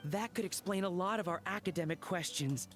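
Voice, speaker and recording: An earnest archaeologist in a video game, with a high voice for a man. He is eager and scholarly, with faint music behind him. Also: a little fast, fairly bright tone. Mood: earnest, fascinated.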